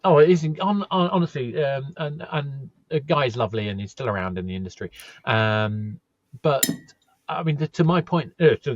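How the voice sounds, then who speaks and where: Podcast host guy, husky voice, tells stories all animated, kinda muffled sound. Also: mid-range voice, no echo. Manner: animated storytelling, many restarts and fillers. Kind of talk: conversational podcast interview. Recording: slightly muffled dry audio.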